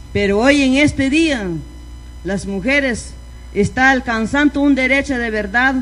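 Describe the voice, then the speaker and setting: A woman's voice: fairly high pitch, low-quality recording. A woman from Guatemala giving earnest, plain-spoken testimony at a community event, with repetitions and restarts. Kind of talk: impromptu public testimony.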